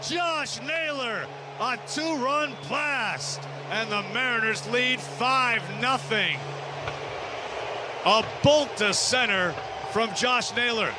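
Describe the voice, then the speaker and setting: A man's voice: very high voice, slow pace, noisy background. A man with an American accent calling live baseball play-by-play on radio in short, clipped phrases.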